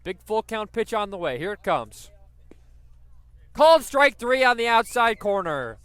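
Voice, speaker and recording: A man's voice: high-pitched, big pitch swings, unhurried, fairly bright tone, clear, resonant tone, dry recording. A man doing live radio play-by-play commentary of a baseball game.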